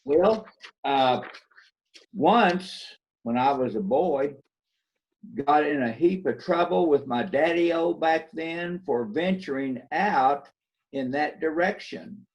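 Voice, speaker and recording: Folksy rural constable, male amateur actor, unhurried delivery, slightly high voice, muffled online-call sound. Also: lively intonation. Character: folksy small-town constable and ambulance driver. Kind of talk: amateur readers theater reading. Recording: dull lo-fi video call.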